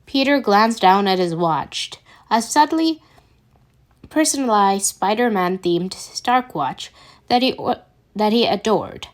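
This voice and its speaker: A woman reading fan fiction aloud as an amateur narrator, with a halting delivery that often stumbles and corrects itself. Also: medium pitch, expressive. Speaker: podfic reader.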